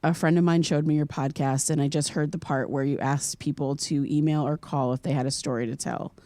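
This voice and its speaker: An actress playing an earnest, curious young American podcaster in an audio drama, speaking in a conversational, slightly hesitant way. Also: female voice, deep voice, steady tone, a little fast, dark tone, dry recording, lightly acted. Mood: casual, candid. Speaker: podcast host character.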